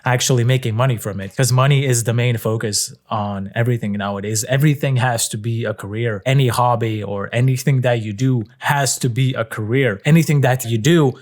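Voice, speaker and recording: A young man speaks to the camera with a Lithuanian accent at a mid-range pitch, musing in an easygoing way. His English is conversational and loose, with fillers and the odd curse word. He sounds like a creator thinking out loud. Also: fairly quick, hardly any pauses. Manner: relaxed, conversational, frequent fillers and casual swearing. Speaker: content creator. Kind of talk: casual reflective monologue.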